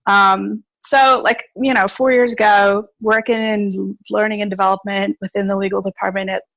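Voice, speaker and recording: A woman's voice: medium pitch, little pitch movement, normal speed, a bit bright, no echo, poor audio. A woman in her thirties, originally from South Africa but with an American accent, casually telling her story as a podcast guest, full of fillers and easy laughter. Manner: chatty, lots of fillers, laughs easily.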